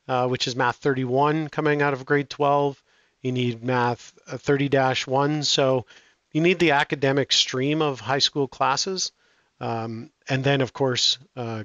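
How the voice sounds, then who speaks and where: A middle-aged man answering interview questions in a relaxed, halting way, with many restarts and fillers. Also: medium pitch, dry recording, highs cut off. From Canada. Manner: hesitant, frequent restarts and fillers. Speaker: guest.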